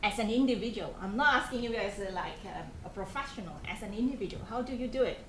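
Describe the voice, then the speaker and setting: A woman's voice: mid-range voice, fast talker, fairly bright tone. A woman in her thirties with a Malaysian accent gives an informal talk to students, asking them questions and restarting her sentences often. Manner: conversational, asks the audience questions, frequent restarts and fillers. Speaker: programme coordinator giving a talk to students. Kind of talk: informal presentation to a student group. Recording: echoey room, faint background noise.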